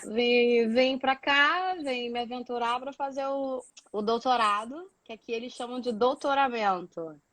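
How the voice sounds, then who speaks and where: High-voiced Brazilian woman, dry close sound. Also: measured pace, few pauses, a bit bright, no echo. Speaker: guest, doctoral student living in Portugal. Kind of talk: casual explanatory chat.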